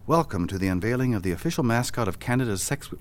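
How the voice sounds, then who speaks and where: A man's voice: medium pitch, lively intonation, quick pace, noisy background, close to an everyday voice. Harried, theatrical, sarcastic man bureaucrat played by an actor. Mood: weary, distracted. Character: harried, foul-mouthed, sardonic male government bureaucrat. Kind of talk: satirical audio drama acting.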